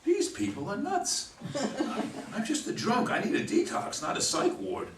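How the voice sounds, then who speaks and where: A man's voice: very high voice, huge pitch swings, measured pace, exaggerated voice. A man playing a sarcastic, jittery agnostic drunk in a staged play reading, with a hesitant, wisecracking delivery. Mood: rattled. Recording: echoey room, distant mic, faint noise.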